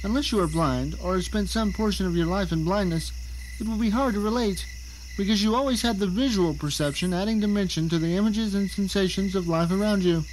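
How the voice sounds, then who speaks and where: Male actor as blind middle-aged prisoner in his own home, arrogant and suspicious; high voice, theatrical first-person suspense drama, noisy backdrop. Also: a little fast, close to an everyday voice. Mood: somber, tense.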